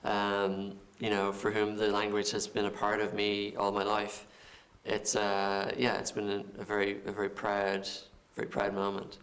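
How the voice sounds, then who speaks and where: An Irish film director answering interview questions, halting and repeating words as he thinks. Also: fairly low pitch, completely flat delivery, fairly bright tone. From Ireland.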